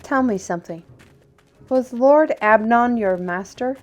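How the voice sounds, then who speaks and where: A woman's voice: fairly high pitch, unhurried, close to an everyday voice. A woman playing a talkative, worldly steward in a fantasy audio roleplay, with a wry, teasing and chatty manner. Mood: reflective. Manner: chatty, teasing, addresses listeners as lass and lad. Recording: music behind the voice. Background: music.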